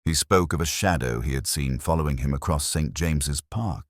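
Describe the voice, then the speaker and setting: A man's voice: a bass voice, moderate pace, no room sound, clean studio sound. A man voicing an anxious, genteel young woman in an audiobook mystery, polite and hesitant in manner. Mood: uneasy, pleading. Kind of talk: dramatised detective audiobook.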